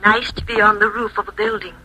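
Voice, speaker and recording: A woman's voice: mid-range voice, little pitch movement, fast talker. An actress playing a terse, coaching female voice coming from a tiny transistor device in a 1950s radio drama. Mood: prompting. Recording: noisy old radio recording with clicks.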